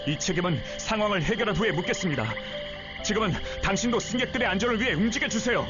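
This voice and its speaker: An earnest, protective young teacher with a somewhat high male voice. He is caring but politely firm, in anime game style. The audio is a little dull under loud music. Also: animated, fast. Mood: stern, urgent. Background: loud background music.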